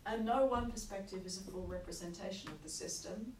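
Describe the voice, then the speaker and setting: Croaky woman lecturer explaining stuff, recorded far off in an echoey hall. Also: medium pitch, darkish tone. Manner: measured, explanatory, frequent "okay" and "um" checks. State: croaky, recovering from lost voice. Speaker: university lecturer. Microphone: room recording from far off. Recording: echoey hall, distant mic, noisy.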